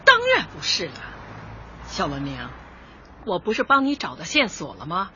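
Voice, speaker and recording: A woman's voice: lowish voice, sing-song, pushed and bright, a little hiss or hum. An actress playing a proud, blunt older neighborhood woman in a radio comedy. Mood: indignant. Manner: blunt, colloquial, quick to retort. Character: feisty older neighborhood woman, square-dance leader, proud and easily wronged. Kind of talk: radio drama acting, light comedy. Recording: slightly muffled, dull audio.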